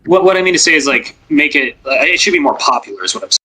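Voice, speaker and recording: A man's voice: fairly high pitch, very animated, very fast, bright, forceful tone, slightly rough, low-quality recording. A man on a podcast panel about ASMR, chatting casually with lots of fillers and rambling asides. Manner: rambling, filler-heavy, many "like"s. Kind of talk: casual chat.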